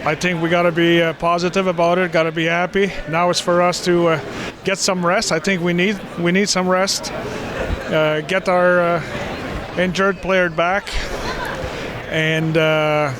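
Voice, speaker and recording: A man giving postgame interview answers as a hockey coach, halting and repeating words as he goes. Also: high-pitched, level tone, crowd noise.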